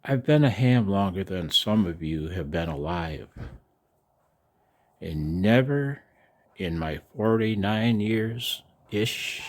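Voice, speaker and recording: An older man talking casually and in a rambling way to his viewers as a video host, folksy and halting, with a gravelly voice. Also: low-pitched, animated, darkish tone. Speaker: amateur radio operator and video host. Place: outdoors in the cold.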